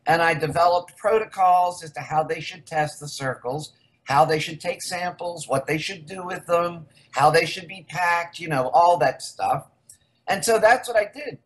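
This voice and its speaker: An older woman from the United States, a researcher guest in a casual interview, rambling candidly through her story. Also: lowish voice.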